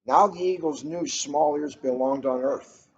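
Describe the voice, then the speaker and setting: A man's voice: medium pitch, unhurried, a little mellow. A middle-aged man, a police officer, reading a storybook aloud and chatting casually between passages. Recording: muffled, noisy ambient room recording.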